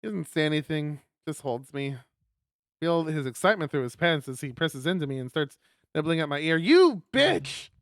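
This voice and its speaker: A man livestreaming and reading a visual novel aloud, sweary and animated, with exasperated asides and guitar music behind him. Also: a little high, normal speed, creaky, no echo, studio quality. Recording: loud music under the voice. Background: plucked guitar game music.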